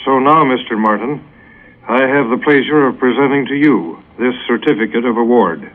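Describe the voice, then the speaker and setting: Formal award presenter on a tinny broadcast. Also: male voice, mid-range voice, moderate pace. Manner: formal, courteous, ceremonial. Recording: tinny, phone-like old radio broadcast.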